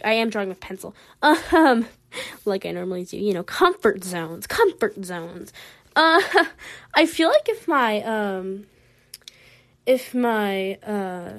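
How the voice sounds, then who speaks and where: Chatty Southern American woman rambling. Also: on the high side. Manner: rambling, giggly, animated, lots of fillers. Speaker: podcast host. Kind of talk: casual solo chat while drawing.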